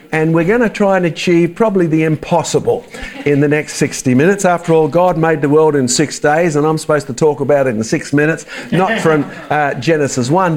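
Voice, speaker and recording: A man's voice: a little high, a little mellow. Chatty man with a mild Australian accent. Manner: chatty, joking asides to the audience. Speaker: creationist speaker. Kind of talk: casual church talk.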